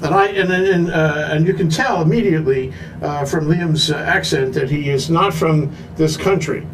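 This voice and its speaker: A man's voice: fairly high pitch, moderate pace, low-quality recording. A man hosting a live talk show, joking and rambling with fillers.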